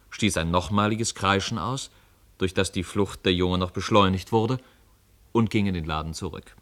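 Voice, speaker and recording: A man's voice: low-pitched, fairly bright tone. A man narrating a literary radio play from a script.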